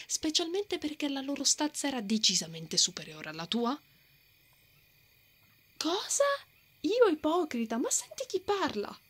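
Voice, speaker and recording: A woman's voice: medium pitch, fairly bright tone. A woman playing a brave, stubborn and protective female knight in a fantasy ASMR roleplay. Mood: indignant, flustered. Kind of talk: ASMR romantic fantasy roleplay, acted monologue.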